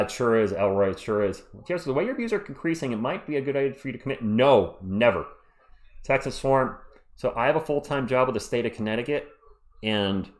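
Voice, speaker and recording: Casual man hosting a live sports stream. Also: mid-range voice. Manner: chatty, reads viewer comments aloud. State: voice worn out. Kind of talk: casual live stream chat reading comments.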